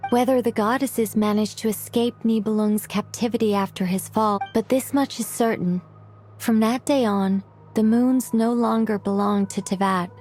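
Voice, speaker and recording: Actress as a mellow, scholarly young woman. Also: medium pitch, close to an everyday voice. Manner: measured, scholarly. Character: learned, composed young woman recounting ancient lore. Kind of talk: scripted game dialogue.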